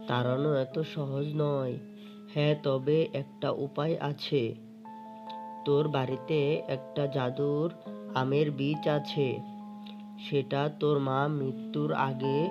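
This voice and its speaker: An actor voicing a wise, commanding old owl healer in a cartoon, explaining solemnly in a gravelly voice. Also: mid-range voice, close to an everyday voice. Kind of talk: cartoon voice acting. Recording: dull, lo-fi track under music. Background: plucked string music.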